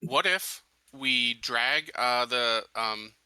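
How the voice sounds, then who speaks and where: A man's voice: mid-range voice, extremely slow, sharp tone, clear, resonant tone, no room sound, poor audio. A man playing a tabletop roleplaying game, talking through plans in a casual, conversational way. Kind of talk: casual tabletop planning chat.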